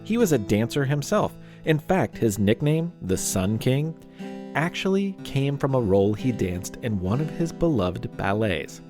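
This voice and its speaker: Animated man telling history stories. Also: mid-range voice. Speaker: podcast host and narrator. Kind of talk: scripted history storytelling narration.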